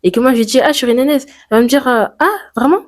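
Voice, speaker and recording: A woman's voice: high-pitched, racing. Teenage girl musing aloud in a vlog. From France. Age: teens. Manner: musing, thinking aloud with many fillers and rhetorical questions. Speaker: YouTuber. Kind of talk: reflective personal vlog.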